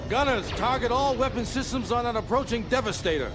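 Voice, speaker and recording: A man's voice: extremely high pitch, brisk, over loud music. A man playing a commanding, embattled rebel general in an audio drama. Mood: commanding, dismayed. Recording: space battle noise behind.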